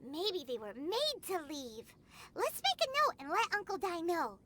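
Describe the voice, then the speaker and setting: A woman voicing a small, excitable female fairy companion in a video game, chirpy and curious. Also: extremely high pitch, measured pace, very noisy, exaggerated voice. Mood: excited curious. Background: game music.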